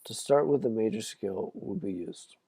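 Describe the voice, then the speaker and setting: A man with a croaky voice giving a casual livestreamed music theory lesson as a guitar teacher, often checking in with "okay?". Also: on the low side, moderate pace, mellow, no echo.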